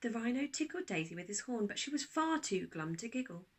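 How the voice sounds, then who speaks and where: A woman narrating a children's storybook like a teacher reading aloud, expressive, with dramatic pauses. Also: fairly high pitch, slightly rough.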